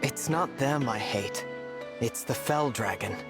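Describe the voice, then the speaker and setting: A man playing a gentle, earnest young divine hero in a video game, soft-spoken and formal in manner. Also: medium pitch, a little slow, over loud music, lightly acted. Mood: resolute. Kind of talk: scripted video game voice acting.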